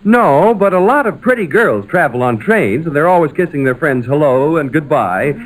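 A man's voice: on the high side, lively intonation, moderate pace, slight background noise, natural voice, rough recording. An actor playing a cheeky, girl-chasing bachelor in a 1940s radio comedy, breezy and wisecracking.

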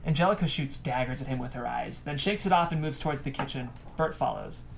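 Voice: mid-range voice, expressive, quick pace, vocal fry, a little hiss or hum. A narrator reading scripted stage directions aloud at a table read.

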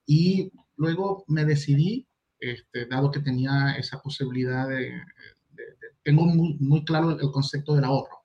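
A man's voice: fairly high pitch, normal speed. A man with a Venezuelan accent giving courteous, slightly formal answers as an interview guest. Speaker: guest, university lecturer and property investor. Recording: dull, lo-fi call audio.

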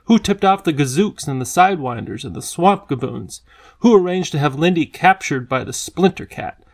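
A man's voice: fairly high pitch, animated, normal speed. A man voicing a defiant, loyal, hot-tempered young boy in a storybook, blunt and heated. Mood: furious.

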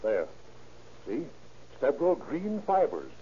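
Observant detective, medium-pitched voice, insistent plain manner, 1930s radio crime drama, faint hiss. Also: male voice, huge pitch swings, takes it slowly. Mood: intent. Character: dogged, observant male police detective partner. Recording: muffled, hissy old radio recording.

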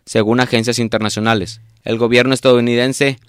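A man narrating a scripted radio drama in a solemn, measured announcing manner. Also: medium pitch, little pitch movement, clear, resonant tone, dry recording, studio quality.

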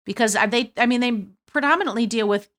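A woman co-hosting a podcast, chatting casually and offering encouragement and advice in an animated way. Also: fairly high pitch, fast. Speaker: podcast co-host. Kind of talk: casual conversation.

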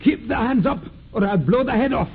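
Creaky, high-pitched old farmer. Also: male voice, animated, fairly quick, exaggerated voice. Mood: stern, threatening. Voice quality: creaky, archaic thee-and-thou speech. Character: old pious Quaker farmer father, anxious, stern, torn. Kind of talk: 1940s radio acting. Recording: tinny, noisy old radio recording.

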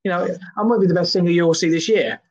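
A man in his thirties, talking loosely and mocking himself, with plenty of restarts. His voice sits a little high and carries a husky edge. He chats casually as a guest. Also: fairly even intonation, fast, dull audio. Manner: self-deprecating, jokey, rambling with restarts. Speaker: podcast guest, singer and comedian. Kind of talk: casual chat.

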